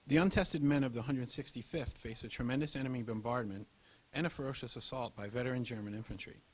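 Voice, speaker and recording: A man's voice: mid-range voice, quick pace, low-quality recording. Male history narrator with background hiss. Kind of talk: scripted historical documentary narration.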